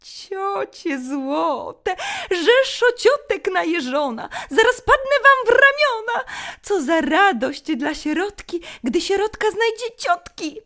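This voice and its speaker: A woman voicing an excitable, tearful orphan hedgehog boy in a melodramatic children's storybook reading. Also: very high-pitched, slow, pitched above the performer's own voice, exaggerated voice. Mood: overjoyed, effusive. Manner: melodramatic, exclamatory.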